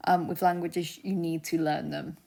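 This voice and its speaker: Young woman, lowish voice, chatty, laughs a lot, soft whispered word glosses. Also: level tone, normal speed, vocal fry. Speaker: language teacher. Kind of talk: casual vocabulary lesson.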